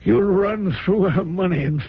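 An actor in a radio drama playing a dying old rich businessman, a moralizing, anxious father who speaks in a hoarse, halting, pleading way. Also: sits high, no echo, heavily acted. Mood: earnest, dismayed. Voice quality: hoarse, weak, gravelly. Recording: dull, band-limited old radio recording.

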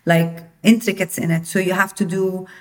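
A woman's voice: lowish voice, little pitch movement, normal speed. A woman from Jordan, the guest founder, explaining things in conversation with frequent use of "like". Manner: explanatory, uses filler "like" often. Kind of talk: interview conversation.

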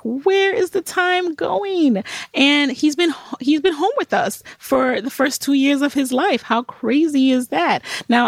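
A woman's voice: on the high side, no room sound. A woman in her thirties from Jamaica, hosting a solo podcast in a candid, chatty way with many fillers and restarts. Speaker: podcast host. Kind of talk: solo podcast update, casual chat.

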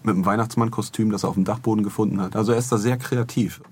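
A man chats casually as a podcast co-host. His voice is fairly low and husky, with a conversational habit of tagging remarks with questions. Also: fairly even intonation, rapid-fire, a little mellow.

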